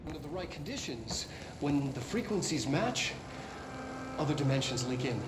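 An actor playing an awkward middle-aged American scientist presenting a research film in a game, halting and professorial. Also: male voice, a little high, lively intonation, lightly acted. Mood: earnest, awkward. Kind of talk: retro educational film presentation. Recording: echoey old film audio, clear noise.

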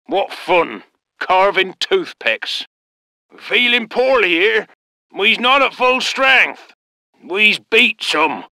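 A man's voice: high-pitched, vocal fry, bright, forceful tone, no room sound, full character voice. An actor voicing a folksy, loyal British tank crewman in a war game, with rustic, clipped military phrases. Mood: wry, weary. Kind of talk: short scripted game unit responses.

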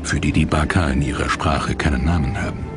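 A man's voice: rumbling low, steady tone, a little fast. A man reading scripted documentary narration. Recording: loud music under the voice. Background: loud background music.

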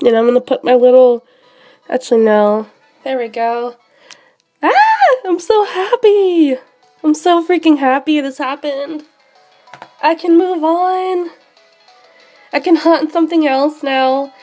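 A young woman streaming a handheld game, sick and losing her voice, chatting excitably with many fillers. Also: sits high, measured pace, long pauses, faint music behind. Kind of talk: live shiny hunting commentary.

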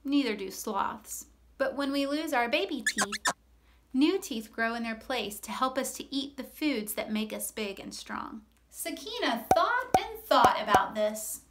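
High-voiced, unhurried woman telling stories. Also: heavy background noise. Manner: animated, encouraging, simple clear phrasing. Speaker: children's story time host. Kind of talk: expressive storytelling for young children. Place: small room with cloth backdrop. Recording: roomy echo, music behind.

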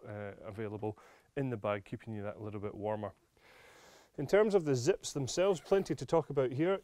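A man's voice: medium pitch, a little mellow, no room sound. A man walks through a product's features, explaining each one as he handles it. His voice sits in a middle range. The sound is dry and close, from a studio set. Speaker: product presenter. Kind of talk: product demonstration. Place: indoor studio set with camping display.

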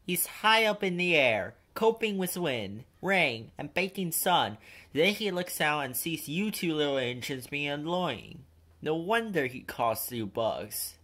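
A man's voice: on the high side, very animated, a little slow, bright, forceful tone, exaggerated voice. A man voicing a teasing steam engine in a children's storybook, explaining things emphatically.

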